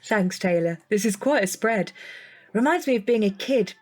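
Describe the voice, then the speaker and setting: A woman's voice: medium pitch, expressive, normal speed, a little mellow, faint music behind, natural voice. An actress playing a blunt, teasing young woman in an audio drama, with a dry, informal manner. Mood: friendly.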